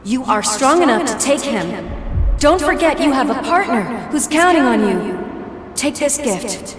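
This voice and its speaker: An actress playing an earnest, encouraging partner in a video game, urging a hero on solemnly. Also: female voice, sits high, very animated, measured pace. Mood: urgent encouragement. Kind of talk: video game cutscene acting.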